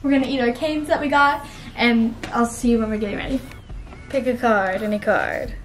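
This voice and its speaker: A woman's voice: fairly high pitch, fairly even intonation, normal speed, soft background music. A woman chatting casually and playfully in a travel vlog. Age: 20s. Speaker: vlogger. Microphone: handheld camera at arm's length.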